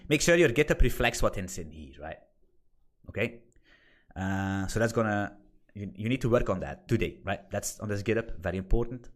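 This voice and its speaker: A man's voice: fairly low pitch, expressive, moderate pace. Blunt Dutch man giving career tips. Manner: blunt, swearing, repeats points for emphasis. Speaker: course instructor. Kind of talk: informal screen-share lesson.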